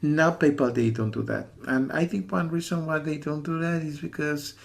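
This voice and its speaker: A man's voice: on the high side, moderate pace, gravelly. A man from the USA giving a casual spoken review as a warning to viewers, rambling and insistent, often repeating himself. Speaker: software reviewer.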